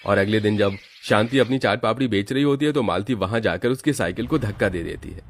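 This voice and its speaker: A man's voice: lowish voice, brisk. A man giving scripted storytelling narration for a moral cartoon.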